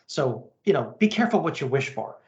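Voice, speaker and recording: A man hosts a podcast in a fairly high voice that moves up and down with lots of animation. His delivery is casual and conversational, and the room adds audible echo. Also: big pitch swings, fairly quick, darkish tone, highs cut off. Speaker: podcast host. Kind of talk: casual chat and reading listener email aloud. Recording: roomy, echoing sound.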